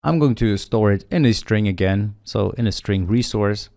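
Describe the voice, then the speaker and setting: A man walks through a programming tutorial, explaining each step in a steady, matter-of-fact way as he types. His voice sits on the low side and is recorded close and dry, with almost no room sound. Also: normal speed, vocal fry, no echo. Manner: steady explanatory, thinking aloud while typing. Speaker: coding tutorial instructor. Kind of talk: screen-recorded coding walkthrough.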